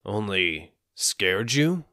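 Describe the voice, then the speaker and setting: A man's voice: mid-range voice, very animated, moderate pace, a bit bright, no echo, voice pushed up. Brief: man voicing a blunt, unsympathetic peddler, curt questions, dry sound. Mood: gruff. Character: rough, unsympathetic male noodle peddler.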